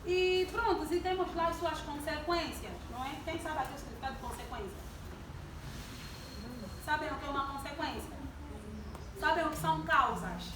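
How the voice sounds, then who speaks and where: A woman's voice: high-pitched, moderate pace, pauses often. A woman in her twenties giving a sermon-style talk to a congregation, asking the audience questions and drawing responses. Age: 20s. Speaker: church youth speaker. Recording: distant mic in an echoey hall with background noise.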